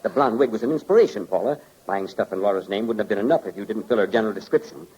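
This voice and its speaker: An actor playing a smug, calculating rich husband plotting a frame-up in an old-time radio drama, husky, clipped and conspiratorial, on a dull recording. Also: male voice, on the low side, lively intonation, very fast, darkish tone. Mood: smug, conspiring.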